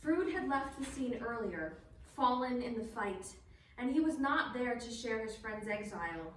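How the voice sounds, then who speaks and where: A woman reading scripted historical narration in a measured, formal way for a stage performance. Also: on the high side, lively intonation. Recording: distant, very echoey, faint background noise.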